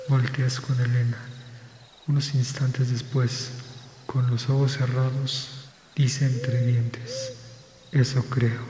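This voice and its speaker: A man narrates an ASMR fantasy story with little movement in pitch. His measured, restrained voice rings with long reverb over clearly audible crickets and night noise. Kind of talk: scripted second-person story narration, ASMR. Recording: reverberant, noisy with insect ambience. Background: crickets and birds, night ambience.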